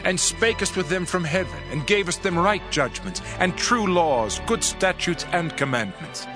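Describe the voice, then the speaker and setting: A man's voice: sits high, lively intonation, normal speed. A man playing a solemn Levite in an earnest, declamatory prayer from a dramatized scripture reading. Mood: earnest reverence. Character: solemn male Levite recounting God's deeds in prayer. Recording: music bed under the voice.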